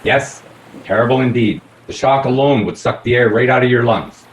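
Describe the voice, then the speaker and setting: A man playing a courteous, probing detective in a radio play, measured and theatrical. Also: medium pitch, lightly acted. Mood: inquisitive. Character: probing, courteous male detective aboard a boat. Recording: echoey, faintly noisy room recording.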